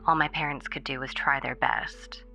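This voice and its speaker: A woman's voice: very deep voice, fairly even intonation, normal speed, vocal fry, sharp tone, faint music behind, full character voice. A woman voicing a miserable young pop singer's interview quotes in a confessional manner. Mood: sad, confessional.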